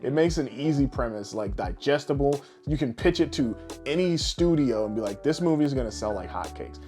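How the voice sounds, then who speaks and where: A man with a mid-range voice talks as a podcast co-host in a loose, casual style. He rambles through explanations with animation and drops the odd swear word. Music plays softly behind him. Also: medium pitch, expressive, fairly quick. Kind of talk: casual podcast chat about games and films.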